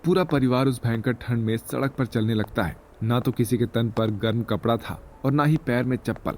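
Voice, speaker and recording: Medium-pitched male story narrator. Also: normal speed, a little mellow, slight background noise. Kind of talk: scripted moral-story narration.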